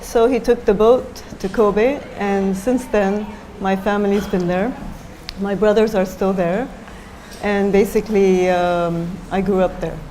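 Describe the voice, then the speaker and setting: A woman's voice: medium pitch, fairly even intonation, measured pace, mellow, slight background noise, low-quality recording. A middle-aged woman from Japan, a designer talking as a guest in an onstage interview, thoughtful and hesitant with many fillers.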